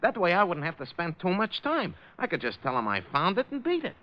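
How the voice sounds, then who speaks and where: Nervous new cabbie, highish bouncy voice, hesitant and chummy, old radio show. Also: male voice, huge pitch swings, a little fast. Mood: nervous, pleading. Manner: hesitant, deferential, colloquial. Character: nervous, friendly working-class male New York cab driver. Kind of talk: 1940s radio acting. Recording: dull vintage radio recording.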